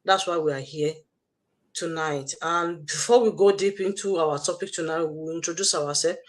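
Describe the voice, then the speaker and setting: A woman's voice: low voice, moderate pace. Nigerian woman hosting a motivational livestream. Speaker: host, online business mentor.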